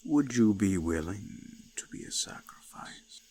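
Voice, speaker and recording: A man playing an ancient eldritch forest god, menacing yet seductive, speaking in slow archaic phrases with hushed, breathy whispers. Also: lowish voice, animated, exaggerated voice. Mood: seductive, coaxing. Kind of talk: scripted roleplay audio, slow dramatic monologue.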